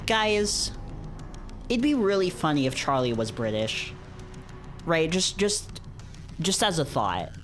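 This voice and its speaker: A man's voice: fairly high pitch, big pitch swings, takes it slowly, long pauses, a bit bright, soft background music. A man streaming a read-along with casual, rambling, jokey commentary, often repeating himself for emphasis. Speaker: streamer reading visual novels aloud. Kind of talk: casual live commentary mixed with dramatic read-aloud.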